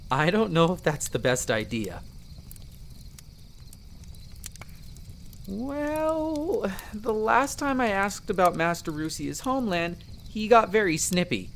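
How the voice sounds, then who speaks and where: A man playing a friendly, earnest young elf explorer in roleplay audio, explaining things chattily with hesitant pauses. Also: a little high, a little hiss or hum. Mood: amused, wary. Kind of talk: character roleplay audio addressed to the listener.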